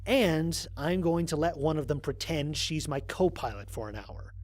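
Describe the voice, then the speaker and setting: A man playing a weary, wry human astronaut narrating his mission log in an audio drama, with a dry, expressive storytelling delivery. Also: a little high, moderate pace, no echo, close to an everyday voice. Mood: apprehensive.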